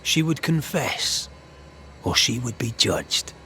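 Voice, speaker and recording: An actor playing a shrewd ghost hunter in a dramatic video game, confronting authority with deliberate, eloquent, accusing speech. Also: male voice, medium pitch, lively intonation, sharp tone, a little hiss or hum. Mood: grave storytelling. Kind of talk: dramatic game storytelling and interrogation.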